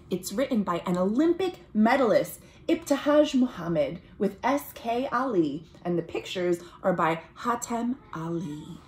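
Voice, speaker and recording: A woman, reading a children's picture book aloud in an expressive, deliberate way as a storytime host. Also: mid-range voice, slight background noise. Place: small room at home, indoors.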